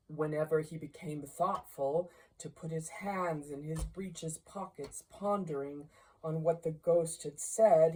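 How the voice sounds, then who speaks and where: A woman reading a classic story aloud as dramatic narration, in a measured, deliberate way. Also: sits low, darkish tone, low-quality recording. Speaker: audiobook reader.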